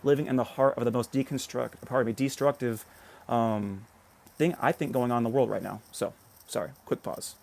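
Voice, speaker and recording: American guy going off on a livestream, talks quick, medium-pitched voice, lots of "right?" and cussing. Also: creaky, a little mellow. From United States. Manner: rambling, peppers talk with "right?" and swearing; reads out and answers viewer comments. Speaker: livestream host. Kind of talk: casual political livestream monologue.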